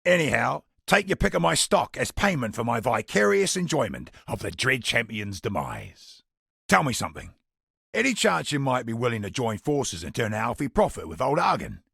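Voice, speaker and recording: An actor playing a chatty, wry merchant in a fantasy video game, with a gravelly, husky voice. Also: male voice, medium pitch, a bit bright, dry recording, studio quality, exaggerated voice. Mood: wry, jaunty, then scheming, cheerful. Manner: colloquial, wry storytelling with British slang. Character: roguish, chatty male merchant, wry and worldly. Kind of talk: scripted video game character dialogue.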